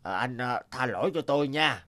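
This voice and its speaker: A man's voice: medium pitch, lively intonation, normal speed, bright, forceful tone, a little hiss or hum. A man voicing a sly, greedy, lazy fox in a children's cartoon, wheedling and hemming as he talks. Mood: sheepish, apologetic. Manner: wheedling, hesitant with many hems.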